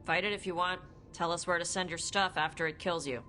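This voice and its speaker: A woman's voice: fairly low pitch, steady tone, brisk, bright, forceful tone, a little hiss or hum. A woman playing a blunt, confident dragon hunter in a fantasy video game, speaking matter-of-factly. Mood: blunt, dismissive. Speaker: voice actor. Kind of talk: video game cutscene acting.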